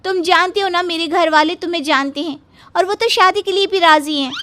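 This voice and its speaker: A woman playing a bold, insistent young girlfriend in an animated story, pleading and forthright. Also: very high-pitched, fairly quick, a little hiss or hum. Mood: urgent, insistent. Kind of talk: Hindi animated story acting.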